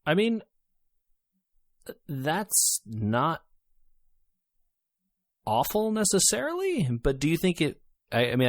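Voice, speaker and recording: A man chatting casually as a podcast co-host, feeling his way through sentences with halting phrasing. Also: on the low side, dry recording, studio quality. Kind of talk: casual chat.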